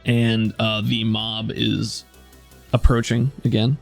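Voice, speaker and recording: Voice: mid-range voice, flat delivery, takes it slowly, vocal fry, soft background music. A game master casually narrating a tabletop roleplaying game, improvising in a conversational way.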